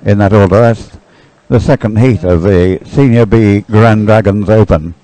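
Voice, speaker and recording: A man giving live, unscripted sports commentary in a halting, thoughtful way. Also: fairly low pitch, warm tone, low-quality recording.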